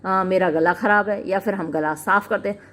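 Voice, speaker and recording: A woman teaching literature. She reads English lines slowly and then explains them in chatty Hindi. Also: mid-range voice, fairly even intonation, a bit bright. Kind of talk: line-by-line play explanation in Hindi.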